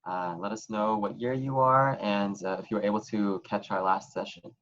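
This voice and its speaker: A young man with a low voice moderates an online panel from home. He speaks in a loose, easygoing way, full of ums and uhs. The video call audio sounds muffled and a little dull. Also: normal speed. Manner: relaxed, many "um"s and "uh"s.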